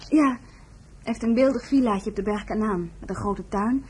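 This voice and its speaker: A woman's voice: medium pitch, moderate pace, a little mellow, natural voice. A woman acting an eager, chatty young nursing trainee in a Dutch radio play, on a noisy old recording. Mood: excited, chatty.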